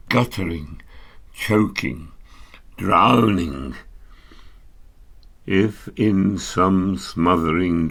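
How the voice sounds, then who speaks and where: A man giving a grave, dramatic poetry recital with deliberate phrasing and long pauses. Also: deep voice, vocal fry, a bit bright. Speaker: poetry reader.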